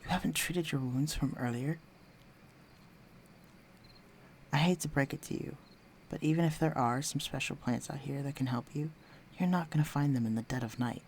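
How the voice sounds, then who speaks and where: A flirtatious male villain, acted by a man murmurs in a mid-pitched, creaky voice. He teases and coaxes the listener with a tender undertone, as in an intimate roleplay audio. Faint night ambience with crickets sits behind him. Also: a little fast, slight background noise, lightly acted. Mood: concerned, coaxing. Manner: teasing, coaxing, breathy murmurs.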